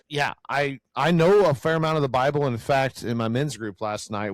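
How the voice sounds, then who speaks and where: A man with a self-described growly, loud voice, speaking earnestly in a casual faith conversation and often repeating words as he searches for them. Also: medium pitch, no room sound, low-quality recording.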